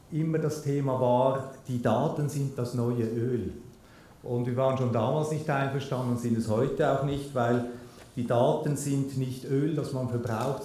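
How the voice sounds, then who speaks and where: A middle-aged man giving a conference keynote at a lectern microphone in a large lecture hall, measured and dry, with frequent hesitation fillers. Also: medium pitch, mellow. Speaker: keynote speaker, company CEO. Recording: very echoey hall with faint noise.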